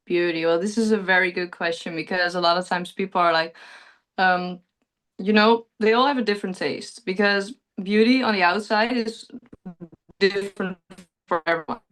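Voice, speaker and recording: A woman's voice: medium pitch, normal speed. A young woman with a Dutch accent speaks over a video call. Her talk is earnest and chatty, easy laughs between her answers. Her voice sits mid-range for a woman. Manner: earnest, chatty, laughs often, relives moments with lively quoting. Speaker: pageant contestant, guest. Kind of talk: casual interview answers.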